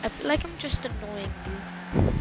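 A woman giving loud, excitable, casual commentary while playing a video game, exclaiming often. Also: a little high, a little slow, poor audio. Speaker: gameplay commentator. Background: game music.

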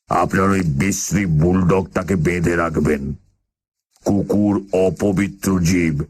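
A very deep, husky, sinister landlord. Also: male voice, exaggerated voice. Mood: stern, disapproving. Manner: slow, ominous, halting. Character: sinister, forbidding landlord of a decaying house. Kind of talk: Bengali horror audio drama acting.

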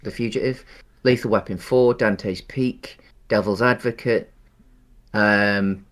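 A man's voice: on the low side, steady tone, slow pace, fairly bright tone. A man in his thirties co-hosting a podcast on a video call, chatting about a film with lots of ums and restarts. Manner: hesitant, many ums and restarts. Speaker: podcast co-host. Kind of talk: casual film discussion. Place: small room at home. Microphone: headset on a video call.

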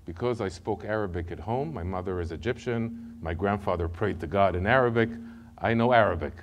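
A middle-aged Israeli man with an Israeli accent giving a testimony sermon from a church podium, telling his story in an animated way and often repeating words as he goes. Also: low voice, brisk, noisy background. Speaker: guest speaker. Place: church sanctuary, large room.

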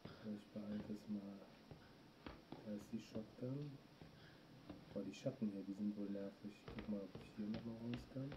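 German man, middle-aged, gaming streamer, lowish voice, muffled audio with clear noise behind. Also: steady tone, normal speed, long pauses. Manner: talks to himself while playing, reacts to chat. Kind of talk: let's play commentary. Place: small room indoors.

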